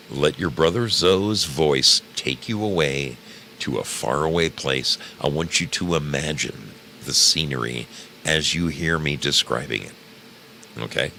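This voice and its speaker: A man hosting a radio show, talking casually to listeners in a deep, gravelly voice with theatrical, drawn-out emphasis. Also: bright, forceful tone, slight background noise.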